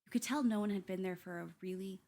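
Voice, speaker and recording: A woman playing a composed Coast Guard investigator who narrates a radio play in a measured, deliberate way. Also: medium pitch, mellow, lightly acted. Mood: brooding, measured.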